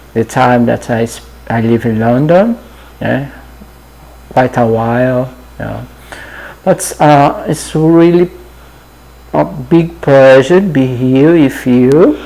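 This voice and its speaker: A Brazilian man in his fifties with a Portuguese accent, introducing himself to viewers in a halting, friendly way, often trailing off with "yeah". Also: mid-range voice, vocal fry, warm tone, low-quality recording. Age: 50s. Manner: halting, many fillers and restarts, often adds "yeah". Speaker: channel host. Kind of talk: casual personal introduction.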